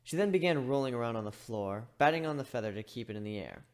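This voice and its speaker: Animated man reading a story aloud. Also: medium pitch, quick pace. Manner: animated, expressive delivery. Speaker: amateur story reader. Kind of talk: dramatic reading of fan fiction.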